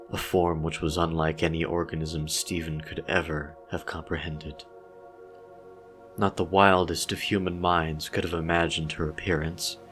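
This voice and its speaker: A man narrating a dramatic horror story with a grave, solemn delivery. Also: low-pitched. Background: original soundtrack music.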